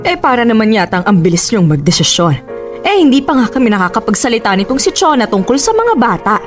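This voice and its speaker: A sharp-tongued Filipino mother in a radio drama, arguing and accusing. Her high voice swoops with heavy sarcasm over a music bed. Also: very animated, quick pace. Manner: sarcastic, argumentative. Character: combative, sharp-tongued mother of a young man, defensive, accusing.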